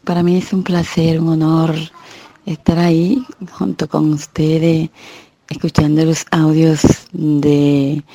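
A woman's voice: deep voice, mellow, no room sound. A woman with a Dominican accent leaving a devout, rambling greeting in a voice message to her church group. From Dominican Republic.

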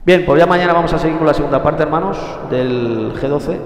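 A man's voice: fairly high pitch, fairly quick. A man preaching to fellow believers on an online group call, speaking as the leader of the meeting. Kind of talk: sermon closing, addressing the congregation. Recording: long hall-like reverb.

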